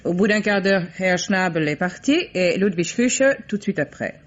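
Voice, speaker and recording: Poised female private secretary, played by an actress: crisp, guarded, formal, old radio drama on muffled tape. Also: lowish voice, moderate pace, natural voice. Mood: composed, curt. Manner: crisp, curt, formal replies. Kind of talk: vintage French radio mystery acting. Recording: dull, vintage radio recording.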